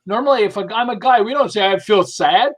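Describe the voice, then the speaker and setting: A man with a high-pitched voice speaks as an expert guest in a podcast conversation. He explains through anecdotes in an animated but halting way, breaking off and picking up his sentences again. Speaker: podcast guest, behavioral management specialist.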